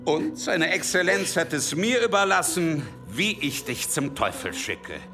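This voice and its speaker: A man's voice: fairly high pitch, very animated, measured pace, pushed and bright, faint music behind, full character voice. A man in a German radio drama playing a sadistic, cunning henchman who speaks in a mocking, menacing, sardonic way. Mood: sadistic, taunting. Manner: taunting, sardonic, toying with the listener.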